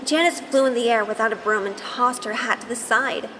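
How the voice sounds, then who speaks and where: A woman's voice: on the high side, fairly quick, in a large hall, heavy background noise, close to an everyday voice. A woman playing an earnest, impassioned young witch who tells her story in an audio drama. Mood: tense storytelling. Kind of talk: audio drama narration and acting.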